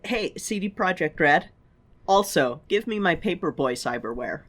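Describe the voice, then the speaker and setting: A woman's voice: fairly low pitch, animated, normal speed, a bit bright. A woman co-hosting a podcast in casual comedic chat, playful and blunt in how she talks. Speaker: podcast co-host.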